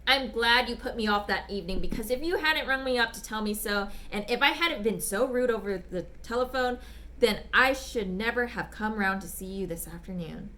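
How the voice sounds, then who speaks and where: A woman voicing a smitten, bashful gentleman writing polite, apologetic, ardent love letters in a dramatic reading. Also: fairly high pitch. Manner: polite, apologetic, ardent, old-fashioned phrasing. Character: smitten, bashful, gushing gentleman letter writer back from China.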